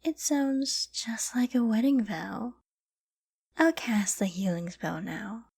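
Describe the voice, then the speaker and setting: A woman voicing a possessive, lovestruck, dominant fox-girl mage in an intimate ASMR roleplay, speaking softly and often dropping to a whisper. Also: on the high side, exaggerated voice. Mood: pleased, tender. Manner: soft, slow, breathy, often dropping to a whisper.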